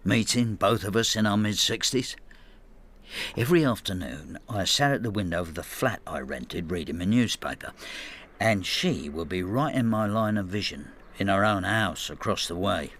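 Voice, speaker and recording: An actor in a radio drama playing a plain-spoken working man in his sixties, telling his story in a measured, understated way. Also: low voice, expressive, fairly bright tone, a little hiss or hum. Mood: brooding, wry. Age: 60s. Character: retired working-class widower, plain-spoken, quietly bitter husband. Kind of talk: radio drama narration and dialogue.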